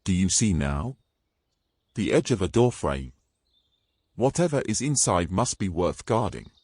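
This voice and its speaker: A British man playing a bold, gruff, teasing knight in a fantasy audio drama, with a wry, brash manner and old-fashioned turns of phrase. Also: low voice, big pitch swings, unhurried, darkish tone, dry recording, full character voice. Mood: wry, confident.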